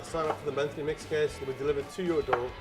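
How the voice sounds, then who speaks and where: A man's voice: on the high side, steady tone, quick pace. An upbeat man with a slightly raised voice introducing beers as co-host. He laughs easily, and music plays behind him. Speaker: co-host of a beer show. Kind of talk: casual beer tasting chat.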